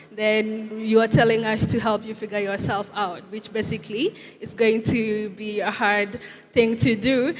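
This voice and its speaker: Young woman on a panel, candid storyteller, a bit high voice, echoey hall. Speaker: panelist, Web3 ecosystem builder. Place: conference stage.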